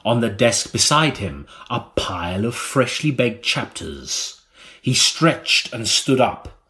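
A man narrates a gothic tale in a mock-serious audiobook style. His delivery is deliberate and melodramatic, and his voice sits at an average male pitch. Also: big pitch swings, pushed and bright. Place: recording studio.

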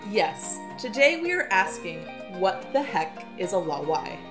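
A woman's voice: medium pitch, very animated, a little slow, music in the background. A woman co-hosting a podcast, asking curious questions and reading scripted lines. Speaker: podcast co-host. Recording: echoey room.

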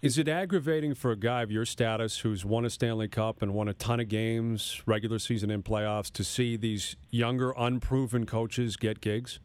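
A man's voice: medium pitch, steady tone, normal speed, hardly any pauses, clear, resonant tone, no echo. Man, sports radio interviewer, mid-range voice, conversational broadcast delivery, close dry studio recording.

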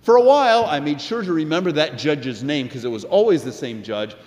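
A man's voice: fairly high pitch, expressive, a little fast, darkish tone. An older American man, a pastor, giving a sermon with personal anecdotes in a reflective, conversational manner. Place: large indoor church. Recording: echoey hall with long reverb.

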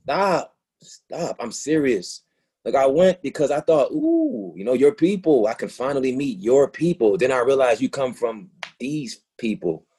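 A man acting a hurt, frustrated young boyfriend in a naturalistic play reading, halting and repeating himself. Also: medium pitch, very animated, warm tone, husky. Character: hurt, frustrated young boyfriend confronting his girlfriend about racism. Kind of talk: readers theatre play reading, naturalistic dialogue.

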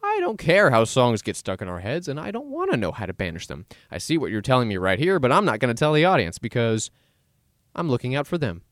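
A man talks through a solo radio podcast from a studio, reading facts aloud and tossing in jokey asides. His voice sits at a middling pitch, and the studio mic gives a dry sound with no room echo. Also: huge pitch swings, fast. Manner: chatty, joking asides while reading facts. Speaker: podcast host. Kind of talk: casual solo radio talk.

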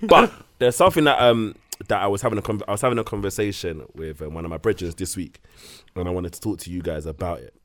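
A man's voice: deep voice, quick pace, no echo. A man co-hosting a podcast in casual banter with friends, speaking, teasing and laughing often. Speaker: podcast co-host.

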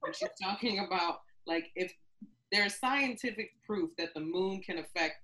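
A woman co-hosting a podcast, chatting casually and reacting quickly with laughs. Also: medium pitch, sharp tone, low-quality recording. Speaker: podcast co-host.

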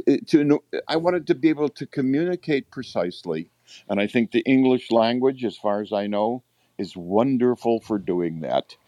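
A man's voice: on the low side, dark tone, no room sound. A man co-hosting a podcast chat, voicing blunt opinions and often restarting his sentences. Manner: opinionated, halting with repeated restarts. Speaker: podcast co-host. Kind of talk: casual panel discussion.

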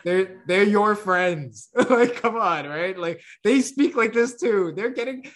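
Animated, laughing man chatting casually. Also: high voice, slow pace. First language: English. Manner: animated, laughs often, repeats words. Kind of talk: casual chat.

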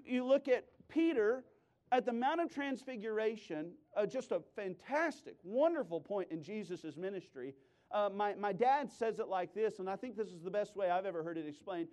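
Male preacher, high voice, emphatic delivery with sudden loud bursts and wry, joking storytelling. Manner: playful, self-deprecating, sarcastic asides. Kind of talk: animated sermon with humorous storytelling.

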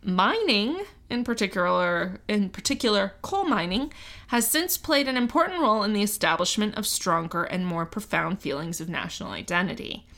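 A woman's voice: mid-range voice, lively intonation, moderate pace, a bit bright. A woman co-hosting a podcast, chatting casually and reading from a script, laughing often and stumbling good-naturedly over hard words. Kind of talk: casual chat mixed with read-out narration.

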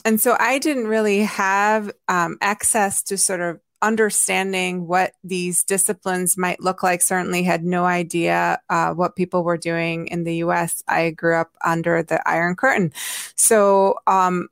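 A woman who grew up in Hungary, answering interview questions in a reflective way, often pausing with fillers and restarting her sentences. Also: fairly low pitch, flat delivery, creaky, clear, resonant tone, no room sound, clean studio sound. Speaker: guest, anthropologist.

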